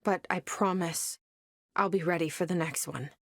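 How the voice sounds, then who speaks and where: A woman's voice: on the low side, normal speed, a bit bright, no room sound, clean studio sound. A woman playing a young, determined bureau director in a video game, wry, intent and self-questioning. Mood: resolute, reflective. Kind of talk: video game dialogue and inner monologue.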